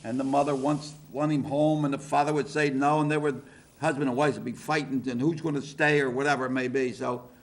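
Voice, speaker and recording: A man's voice: medium pitch, flat delivery, fairly quick. An older man hosting a talk show, chatty and folksy, often cutting in. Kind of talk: casual interview chat.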